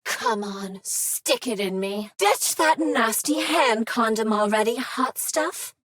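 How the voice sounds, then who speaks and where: Seductive female vending machine, game; high, unhurried, teasing purr, dry booth. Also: animated, fairly bright tone, no echo, exaggerated voice. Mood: sultry. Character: flirty female machine, sultry vending unit. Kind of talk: video game character dialogue.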